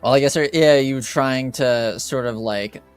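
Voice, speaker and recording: A man runs a tabletop roleplaying game as game master. He speaks slowly and conversationally in a smooth voice, feeling his way through the narration. Faint music plays underneath. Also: mid-range voice.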